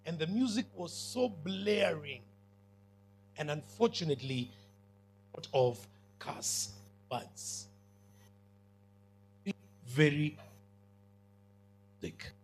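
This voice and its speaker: A Kenyan man preaching with a big, commanding voice that sits high and husky. He delivers slow, deliberate lines with bright edges and keeps checking whether his listeners are following. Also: rough recording. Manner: emphatic, with call-and-response questions. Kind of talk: sermon to students.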